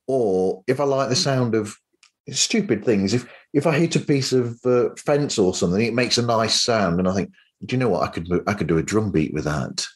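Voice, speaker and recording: A man hosting a podcast in casual chat, rambling along with enthusiasm. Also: fairly low pitch. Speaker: podcast host.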